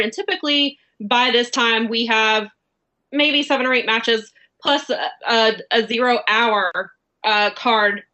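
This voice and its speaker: A woman reporter asking an unscripted question on a press call, halting and correcting herself as she goes. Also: on the high side, sharp tone, poor audio. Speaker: wrestling reporter.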